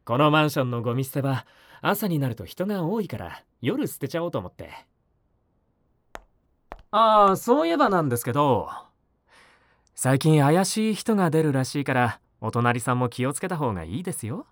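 A woman voicing a polite, mild-mannered young male neighbour in an audio drama, speaking softly and conversationally to the listener. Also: deep voice, very animated, normal speed, dry recording, studio quality. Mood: friendly, chatty. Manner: polite, soft-spoken, conversational. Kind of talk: Japanese audio drama acting, spoken to the listener.

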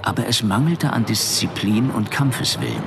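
A man playing a composed narrator, telling the story in a measured, factual documentary style for an audio drama. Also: medium pitch, creaky, a crowd in the background, natural voice. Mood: informative.

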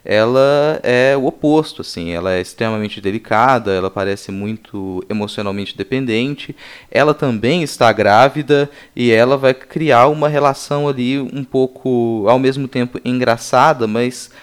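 Smooth-voiced Brazilian man discussing film. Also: mid-range voice, moderate pace. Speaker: podcast panelist.

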